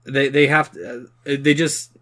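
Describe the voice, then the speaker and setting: A man's voice: medium pitch, fairly even intonation, a little slow, bright, forceful tone. Man in casual podcast discussion. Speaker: podcast co-host. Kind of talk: casual, opinionated film discussion.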